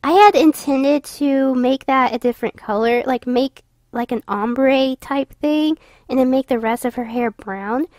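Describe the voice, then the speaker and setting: Young female vlogger, higher voice, stuffy and tired, rambling with fillers. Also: unhurried, a little mellow, no echo, clean studio sound. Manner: rambling, many fillers and restarts. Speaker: YouTuber narrating her drawing. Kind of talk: casual voice-over commentary.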